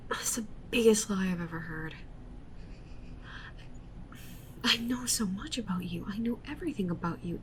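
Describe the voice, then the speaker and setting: A woman's voice: a little high, a little hiss or hum, close to an everyday voice, low-quality recording. A woman playing an obsessive, clingy yandere witch streamer in an intimate roleplay ASMR monologue, half-whispering with intensity. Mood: indignant.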